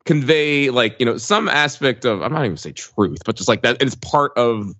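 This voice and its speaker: A man's voice: mid-range voice, lively intonation, normal speed, fairly bright tone. A man co-hosting a casual analytical chat, explaining and qualifying his points, on slightly dull audio. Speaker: podcast co-host.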